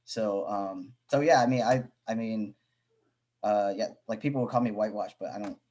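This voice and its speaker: A man veteran from Missouri speaking casually on a video call webinar panel, relaxed, with frequent "like" and "um". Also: fairly low pitch, moderate pace. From Missouri, USA. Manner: relaxed, heavy use of "like" and "um".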